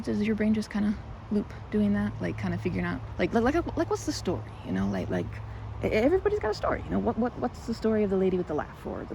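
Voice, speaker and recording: A shy, nervous young woman played by a female voice actor rambles through an ASMR roleplay with lively, swooping pitch and a creaky edge. She stammers, doubles back on her words and slips into oddly formal, wordy phrasing. Clear ambient noise sits behind her. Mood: flustered, eager. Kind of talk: ASMR roleplay, one-sided audio drama.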